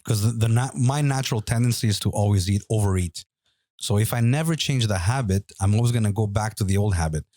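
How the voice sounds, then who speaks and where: A man's voice: fairly low pitch, quick pace, mellow, no echo, studio quality. A man co-hosting a casual podcast, teasing his friends and insisting on his point. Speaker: podcast co-host. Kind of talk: casual chat.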